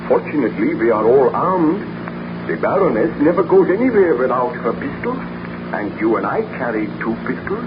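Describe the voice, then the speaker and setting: An actor playing an anguished, guilt-ridden baron, speaking formally and melodramatically in 1930s radio drama style. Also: male voice, medium pitch, sing-song, moderate pace, lightly acted. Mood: grave, tense. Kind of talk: 1930s radio drama acting, narration and dialogue. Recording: dull, noisy 1930s radio recording.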